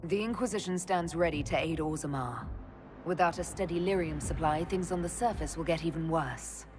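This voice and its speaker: Woman playing a composed, low-toned fantasy commander. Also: moderate pace, over music, lightly acted. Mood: resolute. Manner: composed, direct, questioning. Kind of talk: video game cutscene acting.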